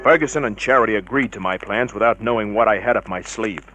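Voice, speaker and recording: A man's voice: lowish voice, fast talker, highs cut off, loud music behind the voice, lightly acted. Hard-boiled actor as a male private eye. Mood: matter-of-fact. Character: tough, cynical male private investigator narrating his case. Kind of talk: 1950s radio crime drama narration and dialogue.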